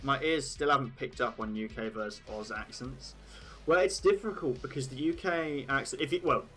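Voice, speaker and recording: Young British male streamer, stray Australian vowels, bright tone, casual rambling chat. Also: medium pitch. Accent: British, with occasional Australian words. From Britain. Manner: chatty, laughs at his own jokes, thinks aloud with many "like"s. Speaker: livestream host.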